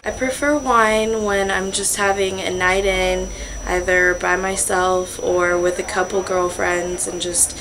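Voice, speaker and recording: A woman's voice: on the low side, little pitch movement, measured pace, background noise. A woman in her early twenties, a student chatting casually in an interview. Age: early 20s.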